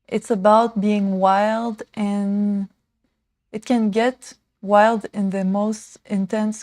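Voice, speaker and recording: Slow, chatty woman streaming makeovers. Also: medium pitch, no echo. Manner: rambling, excitable, many fillers like "like" and "um". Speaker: makeover livestream host. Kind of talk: casual chatty livestream talk. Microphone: small desk mic.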